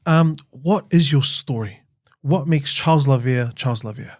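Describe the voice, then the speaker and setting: A man hosting a podcast interview, affirming his guest warmly and steering the talk with questions. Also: mid-range voice, moderate pace, no room sound, studio quality. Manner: warm and encouraging, with frequent affirmations. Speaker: podcast host.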